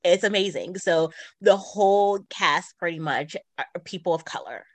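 A woman's voice: on the low side, lively intonation, moderate pace, dry recording. A woman chatting casually and enthusiastically as a podcast co-host, recommending things she enjoys. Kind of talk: casual chat, recommending books.

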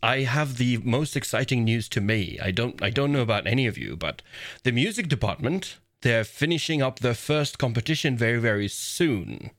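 A man co-hosting a community podcast, talking casually in a deep, commanding voice with a relaxed, playful way of cutting in. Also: normal speed. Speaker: podcast co-host.

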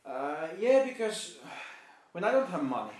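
A man's voice: sits high, very animated, a little slow, a little mellow. A man from Finland with a Finnish accent, chatting casually over beer as a podcast co-host, blunt and rambling, with swearing. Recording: echoey room, amateur recording.

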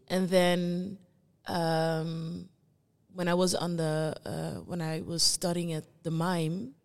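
A young woman from the Netherlands, a guest performing artist, speaking reflectively and searching for her words with many pauses. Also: lowish voice, little pitch movement, vocal fry, long reverb tail. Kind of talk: reflective conversation.

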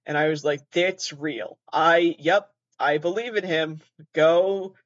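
A man's voice: a little high, fairly even intonation, slow, fairly bright tone, dry recording, lo-fi sound. A man hosting a podcast in a very nasal voice, rambling casually, swearing freely and laughing at himself. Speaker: podcast host.

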